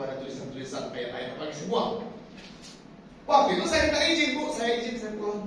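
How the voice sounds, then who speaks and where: A man preaching a sermon, animated and constantly addressing the congregation directly. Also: high voice. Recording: echoey hall, speaker far from the mic, faint noise.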